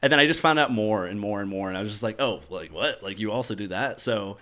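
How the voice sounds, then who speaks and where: A man's voice: on the low side, animated, fast, pushed and bright. A young man hosting a podcast, talking casually and enthusiastically with lots of fillers. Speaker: podcast host. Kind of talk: casual chat. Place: podcast studio.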